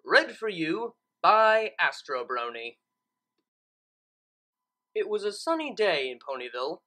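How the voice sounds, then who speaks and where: A woman's voice: lowish voice, very animated, slow pace, bright, forceful tone. A woman reading a scripted comic story aloud as its narrator. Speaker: fan fiction reader.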